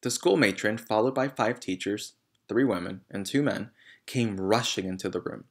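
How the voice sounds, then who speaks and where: A man's voice: fairly low pitch, moderate pace, husky. A man reading a children's novel aloud as narrator. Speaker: reader.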